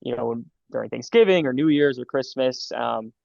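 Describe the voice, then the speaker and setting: A man's voice: medium pitch, vocal fry, a little mellow, no room sound, dull audio. A young man in his twenties, a medical student answering interview questions in a casual, friendly way, with many fillers and restarts. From Los Angeles, California. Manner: friendly, halting with fillers and repeats.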